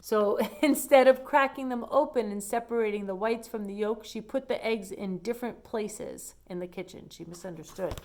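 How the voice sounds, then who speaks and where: A woman reading a picture book aloud to children, pausing to explain things in simple asides. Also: mid-range voice, a little mellow.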